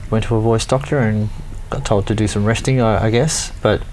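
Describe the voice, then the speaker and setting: Low-voiced rambling man. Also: fairly even intonation, a little fast, darkish tone, a little hiss or hum, poor audio. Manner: rambling, stumbling restarts, opinionated. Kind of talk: casual chat.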